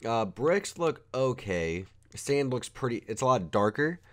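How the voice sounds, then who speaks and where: A man's voice: medium pitch, very animated, measured pace, fairly bright tone. Easygoing young man with a cold. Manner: rambling, filler-heavy, easygoing. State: has a cold. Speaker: Minecraft builder reviewing a texture pack. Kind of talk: casual gameplay commentary.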